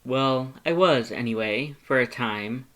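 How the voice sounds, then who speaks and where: A man's voice: medium pitch, unhurried, fairly bright tone, natural voice. A man voicing a proud, earnest cartoon skeleton in a story, with a bombastic, formal and emphatic way of talking. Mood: hesitant, boastful.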